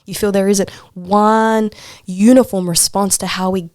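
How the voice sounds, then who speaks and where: A woman's voice: medium pitch, fairly even intonation, unhurried, a little mellow, no echo, studio quality. A woman chatting casually as an interview guest, informal and emphatic, repeating phrases for stress. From Australia. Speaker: podcast guest, poet and social worker.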